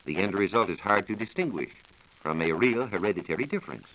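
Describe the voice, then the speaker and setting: An American man giving a scripted science talk on 1940s radio, explaining in a measured, formal manner. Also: fairly low pitch, lively intonation, a bit bright, low-quality recording. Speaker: science reporter explaining research. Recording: old 1940s radio broadcast recording.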